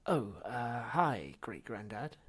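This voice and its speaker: A man's voice: mid-range voice, sing-song, slow pace, slightly rough, full character voice. A man voicing a nervous younger man in a storybook, casual and evasive, stammering and pleading. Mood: nervous apology.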